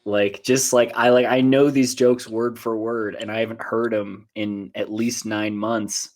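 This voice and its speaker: A man's voice: fairly low pitch, level tone, unhurried. An American man co-hosting a comedy podcast, teasing sarcastically in casual chat.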